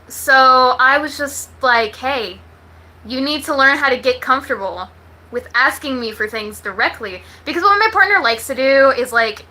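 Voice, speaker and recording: An American woman talks casually to her livestream viewers. Her voice sits fairly high and is extremely bright and sharp. She is blunt and slangy, and she swears freely as she chats. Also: poor audio. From Texas, United States. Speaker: livestream host.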